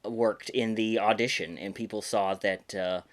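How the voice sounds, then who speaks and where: A man's voice: on the low side, fairly even intonation, a little slow. A man hosting his podcast in an easygoing, rambling way, full of filler words and restarts, and a little congested from sinus trouble. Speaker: podcast host and puppeteer. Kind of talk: casual podcast chat.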